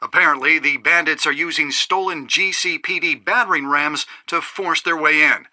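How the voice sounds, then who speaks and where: An actor playing a polished TV news anchor in a video game, reading stories with crisp, emphatic announcer delivery. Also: male voice, a little high, normal speed, sharp tone, dry recording. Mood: brisk, polished.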